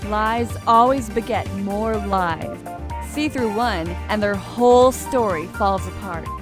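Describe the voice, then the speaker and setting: A woman's voice: medium pitch, slow, lightly acted. A woman playing a confident senior lawyer who firmly coaches a rookie in a courtroom game fan dub. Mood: approving. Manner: assured, coaching, firm. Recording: loud music under the voice.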